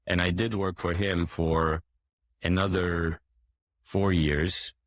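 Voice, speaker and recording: Low-voiced man, halting and filler-heavy. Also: flat delivery, no room sound. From Turkey. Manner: hesitant, many fillers and restarts, clears throat often. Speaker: boat dealership owner, guest. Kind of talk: conversational interview answers.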